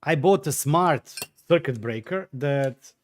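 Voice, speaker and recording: A man's voice: a little high, animated, slow. Chatty man streaming from home. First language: Croatian. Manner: hesitant, chatty, many fillers and restarts while tinkering. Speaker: livestream host. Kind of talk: casual livestream chat, answering viewer comments.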